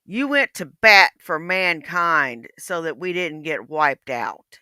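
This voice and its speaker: A woman's voice: deep voice, lively intonation, takes it slowly, bright, forceful tone, clean tone, dry recording, clean studio sound. A woman in her fifties, blunt, profane and mocking in casual livestream chat. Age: 50s.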